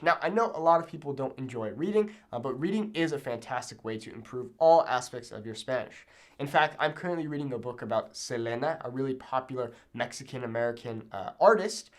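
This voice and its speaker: A man giving an instructional talk to camera as a language teacher, emphatic and often checking in with the learner. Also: mid-range voice. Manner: emphatic, checks in with "okay?" and "right?".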